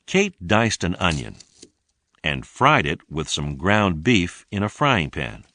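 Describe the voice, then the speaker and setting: A man narrates an English lesson in a very low voice. He reads slowly and deliberately, enunciating each sentence for learners. His intonation moves up and down in a lively way. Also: no echo. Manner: deliberate, clearly enunciated teaching delivery. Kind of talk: scripted read-along narration.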